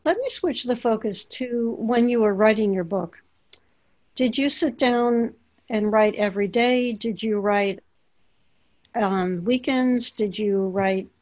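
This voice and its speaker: A woman hosting an interview, asking questions in a steady, measured way. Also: on the high side. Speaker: interviewer, ghostwriter. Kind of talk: conversational interview.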